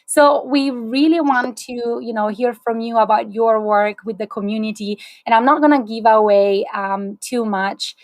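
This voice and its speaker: A woman's voice: fairly high pitch, steady tone, normal speed, hardly any pauses, dry recording. A woman in her thirties hosting an online series on a video call, upbeat and chatty with small restarts. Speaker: host. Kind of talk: livestream webinar hosting. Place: small indoor room, plain wall.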